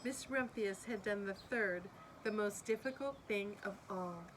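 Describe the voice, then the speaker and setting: A woman reads a picture book aloud for young listeners. Her delivery is expressive, with room for asides and questions to whoever is listening. Faint noise sits behind her medium-pitched voice. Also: measured pace, poor audio. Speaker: storybook reader.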